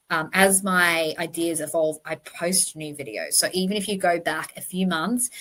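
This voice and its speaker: A woman's voice: fairly low pitch, a bit bright. A woman with a slight lisp hosting a true crime commentary channel in an earnest, informal explanatory monologue.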